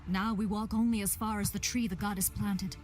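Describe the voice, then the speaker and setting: A woman's voice: medium pitch, steady tone, a little fast, soft background music, close to an everyday voice. A woman playing a stern priestess in a fantasy game, formal and grave. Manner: formal, solemn. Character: stern, grave priestess recounting sacred lore. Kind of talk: fantasy video game acting.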